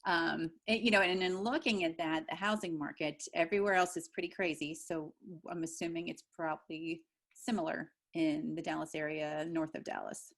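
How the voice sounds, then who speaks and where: A woman's voice: sits low, fairly even intonation, normal speed, few pauses, a bit bright. A woman hosting an interview panel, asking questions in a friendly, conversational way. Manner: friendly, conversational, easy laughter. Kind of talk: casual interview chat.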